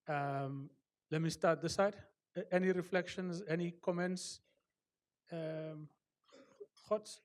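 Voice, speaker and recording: A man moderating a book launch discussion, coaxing the audience for comments. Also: on the high side. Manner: coaxing, informal prompting of the audience.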